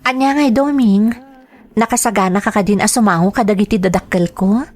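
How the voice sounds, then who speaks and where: A cautious young girlfriend in an audio drama , acted by a woman. She has a Filipino accent and a medium-pitched voice. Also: a little fast, darkish tone, lightly acted. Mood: worried, teasing. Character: cautious young girlfriend wary of her parents. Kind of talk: radio drama acting.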